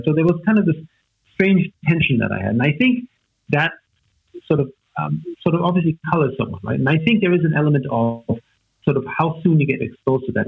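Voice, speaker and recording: A man's voice: on the high side, gravelly, a little hiss or hum. A man from Austria, a native German speaker in his forties, reflecting in conversation with many fillers and tag questions. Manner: hesitant, many fillers and "right?" tags. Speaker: guest. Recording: tinny phone-like line.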